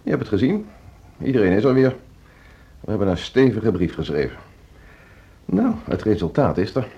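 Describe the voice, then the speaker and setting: An actor playing an ambitious, stubborn orchestra conductor in a naturalistic radio drama, brusque, self-assured and dismissive. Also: male voice, on the low side, sing-song, moderate pace, vocal fry, dark tone. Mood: dismissive, impatient. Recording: dull, muffled radio play recording.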